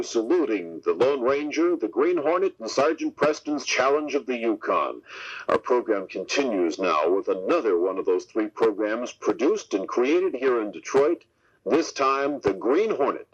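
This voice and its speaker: A man's voice: medium pitch, sing-song, normal speed, husky. A man doing scripted radio announcing as host and advertising reader. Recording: dull radio broadcast tape. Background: music.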